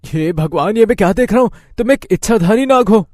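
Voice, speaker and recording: A man's voice: sits high, expressive, brisk, a little mellow, dry recording, voice pushed up. A boastful young village layabout from a cartoon moral tale, voiced by a man. He speaks in a raised, exclaiming voice that sounds whiny and self-important. The naive son is heard close and dry. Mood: amazed. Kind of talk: cartoon moral story voice acting.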